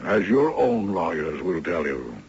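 A man playing a shrewd, dogged elderly retired judge in a 1970s radio drama, speaking with husky, theatrical courtroom formality. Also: low voice, huge pitch swings, a little slow, a little mellow, exaggerated voice. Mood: triumphant. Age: older. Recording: dull 1970s radio recording.

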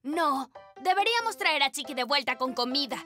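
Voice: sits high, lively intonation, normal speed, pushed and bright. An actor voicing a sensible, know-it-all young animal in a children's cartoon, explaining things matter-of-factly. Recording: voice over children's cartoon music.

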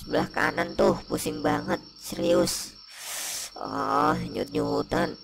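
A man voicing a grumbling male shroud ghost in a comic cartoon, gravelly and moaning in pain with slangy speech. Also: lowish voice, wildly swooping pitch, slow, noisy background, exaggerated voice. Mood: impatient, pained. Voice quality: gravelly, creaky. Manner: groaning, complaining, slangy Jakarta-style speech. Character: grumbling pocong shroud ghost, adult male, suffering a migraine.

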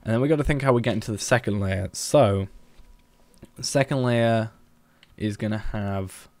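Gravelly man musing as he builds. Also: fairly low pitch, moderate pace, dry recording. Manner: musing, hesitant, planning out loud. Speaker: streamer. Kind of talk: thinking aloud while building in a game.

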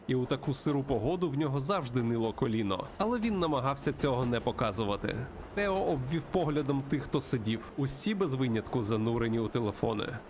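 A man reading a visual novel aloud as a let's play host, in an expressive storytelling manner. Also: medium pitch, a little hiss or hum. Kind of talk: narrated visual novel playthrough reading with asides.

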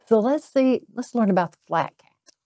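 A middle-aged woman reading a children's bedtime story aloud, with deliberate, drawn-out emphasis on phrases. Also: a little high, lively intonation, warm tone, dry recording, studio quality. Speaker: storybook reader, local insurance agent.

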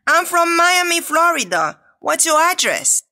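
A woman reading scripted English dialogues and exercise questions for a learners' audio book, clear and deliberate. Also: very high voice, very animated, sharp tone. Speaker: textbook audio reader.

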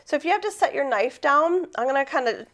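A woman's voice: high voice, animated, quick pace. A middle-aged woman explaining step by step in a conversational kitchen demonstration, as an instructor. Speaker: cooking instructor. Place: kitchen, indoors.

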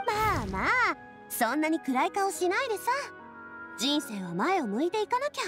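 A woman's voice: very high-pitched, sing-song, normal speed. A woman voicing a bold, breezy young female monster hunter in an anime game. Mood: cheery. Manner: breezy, upbeat. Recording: music behind the voice.

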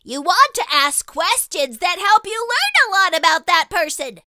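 A tiny, eager little creature with a very high, very bright voice. It talks slowly and carefully, swinging its pitch up and down as it asks question after question. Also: female voice, sing-song, no echo, clean studio sound, exaggerated voice. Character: small curious childlike purple spot creature. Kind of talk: children's picture book read-aloud.

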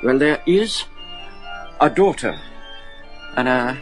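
A genteel English college provost in a gothic radio drama. He is hesitant and flustered, speaking slowly with animated pitch over a music bed. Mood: flustered, evasive. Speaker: actor.

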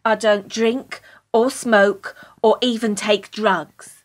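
A woman's voice: medium pitch, steady tone, takes it slowly, natural voice. A British woman playing an earnest, starstruck theatre fan in a radio play, prim and gushing.

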